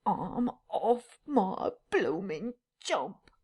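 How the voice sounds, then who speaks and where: A woman's voice: big pitch swings, extremely slow, a little mellow, slightly rough, full character voice. A woman reading an audiobook voices a bewildered, easily rattled male tramp who exclaims in slang. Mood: dazed. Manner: exclaiming, slangy, high and drawn out. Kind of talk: audiobook character voice.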